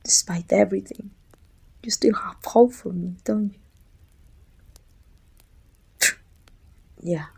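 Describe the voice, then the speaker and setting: A weary, bittersweet female supervillain, acted by a woman for an ASMR roleplay. Her voice is husky and breathy, with a crackling fry at the edges. She speaks in a pensive, deliberate way, often sinking toward a whisper. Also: on the low side, darkish tone, exaggerated voice. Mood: bittersweet, softened. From Mexico.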